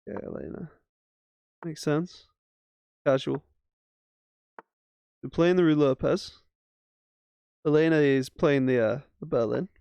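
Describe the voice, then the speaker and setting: A man's voice: on the high side, takes it slowly, creaky, long pauses, dark tone, smooth, no room sound. A man casually commentating his own chess games as he plays, thinking aloud with self-mocking asides. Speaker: chess streamer.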